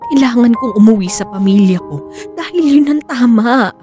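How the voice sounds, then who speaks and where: An actress playing a conflicted wife torn between family and lover in a radio drama. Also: female voice, a little high, expressive, normal speed, warm tone, faint music behind, lightly acted. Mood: defensive, hurt.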